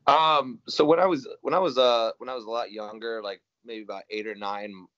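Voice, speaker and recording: A man's voice: medium pitch, fairly even intonation, brisk, a bit bright, no room sound, lo-fi sound. A young man from Iowa, talking casually and rambling with many fillers and restarts on a video call from a small room at home. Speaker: guest musician. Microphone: video call webcam.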